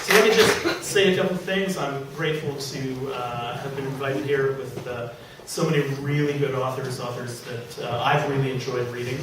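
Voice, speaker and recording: A man reading his own comic story to a live audience, animated and exclaiming, with digressive asides. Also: mid-range voice, moderate pace. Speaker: author reading own fiction. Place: public reading event in a venue. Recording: echoey room from far off, faint noise.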